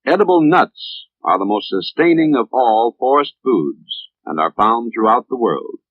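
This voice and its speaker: An American man narrating a scripted 1950s instructional training film in a measured, didactic, formal manner, on an old film soundtrack. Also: lowish voice, dry recording. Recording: tinny, band-limited 1950s film soundtrack.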